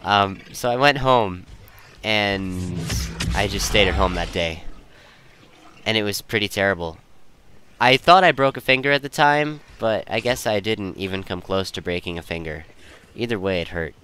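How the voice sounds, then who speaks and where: A man's voice: lowish voice, normal speed, noisy background. A young man telling personal stories in a casual, rambling way, often interrupting himself to second-guess his wording. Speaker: storyteller.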